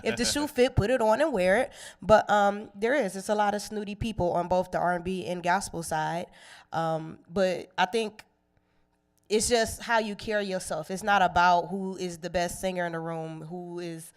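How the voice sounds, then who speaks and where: Young woman, interview guest, low voice, smooth tone, relaxed, chatty, quick to laugh. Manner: relaxed, chatty, laughs easily, many fillers. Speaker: singer and actress guest. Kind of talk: casual interview chat.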